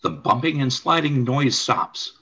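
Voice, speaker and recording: Animated male narrator, bright and slightly husky. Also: mid-range voice, unhurried, lo-fi sound. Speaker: narrator and announcer. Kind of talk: scripted radio play narration.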